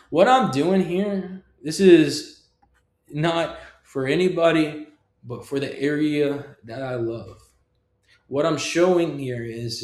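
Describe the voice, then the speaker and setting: A middle-aged man giving an informal, emphatic, rambling tech talk, punctuated with short checking questions. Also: medium pitch. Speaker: software developer presenting own project. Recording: echoey room.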